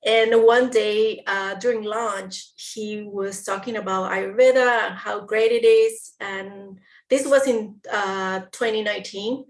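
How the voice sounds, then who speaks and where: A woman from Peru with a Peruvian Spanish accent, a guest in a casual interview, speaking haltingly as she searches for words. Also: mid-range voice, steady tone. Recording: roomy audio from a distant mic.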